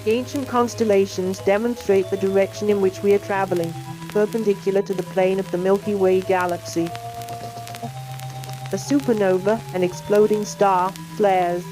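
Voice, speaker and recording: A woman's synthetic AI voice giving scripted educational science film narration in a measured, explanatory manner. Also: medium pitch, steady tone, low-quality recording. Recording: music playing under the voice. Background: music.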